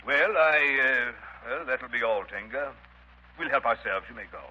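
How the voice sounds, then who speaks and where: An actor playing a pompous, brusque British colonial major in a 1930s radio drama, with clipped speech. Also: male voice, mid-range voice, lively intonation, normal speed, slightly rough. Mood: awkward. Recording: muffled, hissy 1930s radio broadcast.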